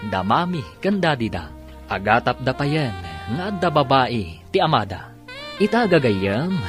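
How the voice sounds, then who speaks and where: A man narrating a radio drama as its announcer, theatrical and scripted, drawing out titles over music. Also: mid-range voice. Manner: theatrical, drawn-out title calls.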